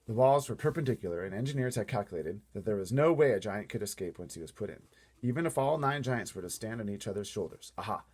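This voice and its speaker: A man reading a storybook aloud in an animated way, playfully breaking off for casual asides to wonder aloud. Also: lowish voice, slightly rough.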